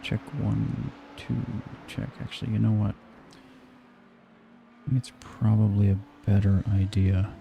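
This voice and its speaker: A man with a quiet voice, streaming a sim race and chatting casually in a low-key, mumbly way while he tests his mic. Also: level tone, unhurried, gravelly, muffled recording, a little hiss or hum.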